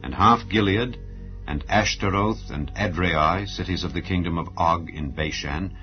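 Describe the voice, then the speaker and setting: Deep-voiced man solemnly reading scripture over music. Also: a little slow. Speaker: Bible narrator. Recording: dull lo-fi audio under music. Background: background music.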